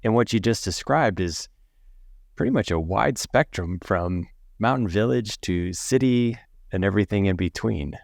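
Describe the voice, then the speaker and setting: A man's voice: lowish voice, expressive, normal speed, creaky, darkish tone, no room sound, studio quality. A man hosting a podcast, upbeat and affirming as he welcomes and questions his guest. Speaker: podcast host.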